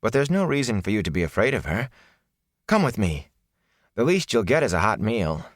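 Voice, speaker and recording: A man's voice: mid-range voice, big pitch swings, moderate pace, fairly bright tone, dry recording, studio quality, pitched above the performer's own voice. A man voicing an earnest, kindly young man in a fantasy audiobook, speaking in a concerned, reassuring manner. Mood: soothing. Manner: hesitant, soothing, reassuring.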